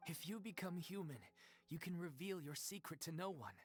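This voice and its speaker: A man's voice: a little high, moderate pace, natural voice. A man playing an earnest, plainspoken, loyal young troupe actor in a video game, with music behind him. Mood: solemn.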